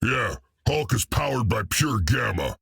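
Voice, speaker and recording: A man voicing a hulking, cocky green brute in a video game, gruff and blunt, talking about himself in the third person with a gravelly, husky voice. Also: mid-range voice, big pitch swings, unhurried, fairly bright tone, no echo, studio quality, full character voice. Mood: gruff banter. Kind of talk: video game character dialogue.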